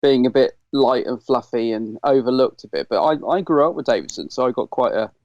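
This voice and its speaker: A middle-aged British man with a slightly croaky, dry throat, chatting casually and enthusiastically as a podcast host. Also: medium pitch, animated, a little fast, darkish tone, no room sound, dull audio. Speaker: podcast host reviewing Doctor Who. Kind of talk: casual chat.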